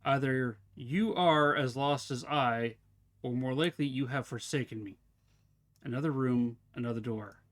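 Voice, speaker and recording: A man's voice: mid-range voice, measured pace, close to an everyday voice. A man reading as a weary, trapped journal writer. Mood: weary, solemn. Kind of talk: dramatic reading of game text.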